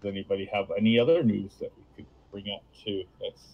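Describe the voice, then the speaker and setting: A man's voice: lowish voice, quick pace, dull audio. Hesitant man in casual stream chat. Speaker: livestream co-host.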